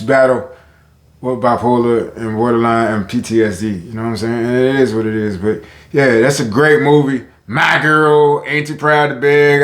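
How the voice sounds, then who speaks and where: A man with a deep voice rambles casually straight to his viewers, stopping and restarting his sentences. He talks at an ordinary pitch. His voice bounces around an echoey room. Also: fairly bright tone. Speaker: vlogger. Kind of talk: casual talk to camera.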